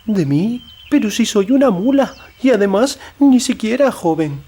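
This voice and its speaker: Guy doing a humble old girl mule, really high swoopy plaintive voice, storybook. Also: sing-song, unhurried, warm tone, husky, full character voice. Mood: astonished. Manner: plaintive, simple. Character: old, humble, simple, devoted talking female mule.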